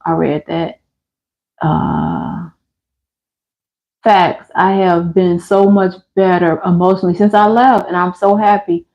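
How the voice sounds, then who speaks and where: An American woman in her fifties hosts a casual livestream, talking candidly and colloquially to her viewers. Her voice sits at a medium pitch. The audio is a little dull and lo-fi. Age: 50s. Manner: candid, conversational, colloquial, animated retelling with acted-out quotes. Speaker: livestream host.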